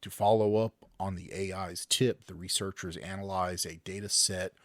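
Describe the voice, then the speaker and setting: A man's voice: sits low, fairly even intonation, moderate pace, no room sound. A man co-hosting a casual podcast, reading news stories aloud and joking around. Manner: jokey, breaks into loud silly voices.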